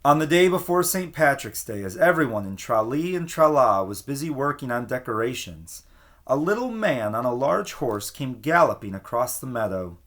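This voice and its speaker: Guy reading a kids storybook, super animated up and down voice, teacher vibe. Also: mid-range voice, normal speed. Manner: expressive, storytelling cadence. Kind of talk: children's storybook read-aloud.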